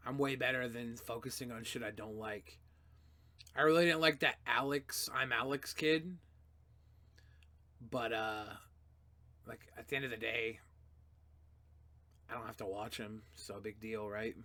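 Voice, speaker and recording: A young man hosting a casual livestream, answering chat questions in a loose, profane way that swings between mumbling and loud outbursts. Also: mid-range voice, fairly even intonation, measured pace, long pauses.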